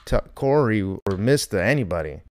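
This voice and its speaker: A man's voice: lowish voice, lively intonation, normal speed, a little mellow, no room sound, clean studio sound. A man hosting a comedy podcast, chatting casually and joking.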